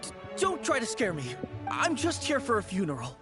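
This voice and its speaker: A man's voice: very high voice, huge pitch swings, moderate pace, music in the background, exaggerated voice. A man voicing a timid, easily panicked young male undertaker in a video game, stammering and pleading in formal words. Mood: nervous pleading. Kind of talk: animated game voice acting.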